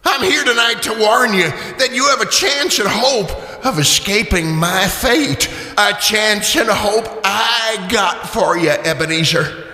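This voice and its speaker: A tormented male ghost in a radio play, with a very high, piercing voice. He draws his words out slowly in an eerie, mournful wail. Everything rings in long cavernous reverb. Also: bright, forceful tone, faint music behind, exaggerated voice. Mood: solemn warning. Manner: slow, drawn-out, wailing declamation with eerie cackles. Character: tormented, wailing male ghost of a dead miser's partner. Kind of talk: radio theater acting.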